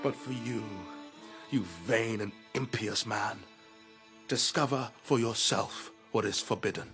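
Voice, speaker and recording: An actor playing a proud, charismatic young god in a classical radio drama, speaking in a solemn, measured, incantatory way. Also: male voice, mid-range voice, steady tone, a bit bright, faint music behind, close to an everyday voice. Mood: quiet menace.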